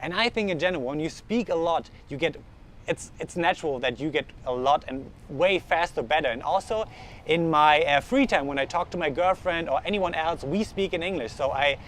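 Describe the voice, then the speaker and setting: A man's voice: fairly high pitch, expressive, a little fast, fairly bright tone. Young German man talking outdoors. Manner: earnest, thinks aloud with frequent restarts. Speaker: vlogger. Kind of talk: casual talk to camera. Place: outdoors in the sun, urban street. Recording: faint outdoor street noise.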